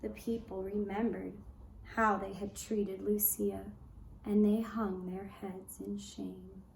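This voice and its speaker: A woman giving gentle scripted narration of a tale as a puppet show storyteller, soft and deliberate, with long pauses. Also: mid-range voice, level tone, vocal fry, reverberant, a little hiss or hum, rough recording.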